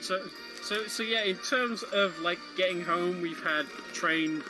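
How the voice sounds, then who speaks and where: A man with a slightly high voice provides casual co-commentary on a game. He explains things conversationally while game music plays clearly behind him. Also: measured pace, low-quality recording. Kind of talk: casual live gaming commentary.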